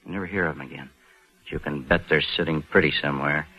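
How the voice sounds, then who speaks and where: An American actor playing a scheming, slangy bank clerk in a hard-boiled 1940s radio crime drama, both speaking and narrating. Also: male voice, rumbling low, fairly even intonation, fast, pushed and bright, breathy edge, heavily acted. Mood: urgent persuasion. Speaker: lead actor and first-person narrator. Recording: dull vintage 1940s radio recording with music.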